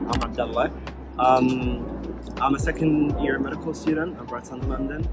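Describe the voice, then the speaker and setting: A young man from Kuwait, a medical student, talks in a relaxed, halting way and fills gaps with ums. His voice sits at an ordinary pitch, and loud music plays underneath him. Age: young adult. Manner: relaxed, hesitant, many fillers. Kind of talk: casual vlog talk.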